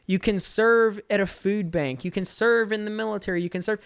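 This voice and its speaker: A young American man in his twenties with a fairly high, smooth voice. He talks in an earnest, casual storytelling way, like a podcast guest. The sound is close and dry. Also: brisk, no room sound. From Georgia, USA. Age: 20s.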